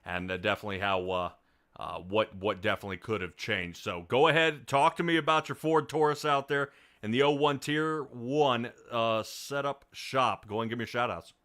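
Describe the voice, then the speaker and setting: A man's voice: medium pitch, fairly even intonation, normal speed, sharp tone. A man hosting a sim racing broadcast, interviewing a driver and rambling upbeat commentary with many restarts. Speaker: race broadcast host.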